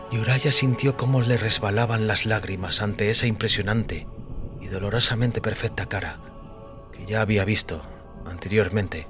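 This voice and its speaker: Male audiobook narrator, low register, dramatic prose over a music bed. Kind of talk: scripted dramatic story narration in Spanish. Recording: music under the voice. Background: background music.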